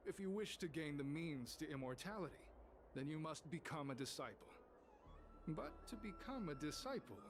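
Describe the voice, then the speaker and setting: An actor playing a smooth-talking, devout cult recruiter in a video game, speaking in a formal, persuasive and grandiose manner. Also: male voice, a little high, lightly acted. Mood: devout, solemn. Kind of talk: scripted video game dialogue. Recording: game music behind the voice.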